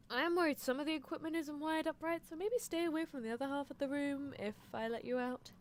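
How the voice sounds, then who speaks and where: A woman acts out a teasing, obsessive mad-scientist girl in an ASMR roleplay. The voice sits fairly high and swings animatedly in pitch. The manner is coaxing, clinical and theatrically possessive, over faint background noise. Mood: smug, nonchalant.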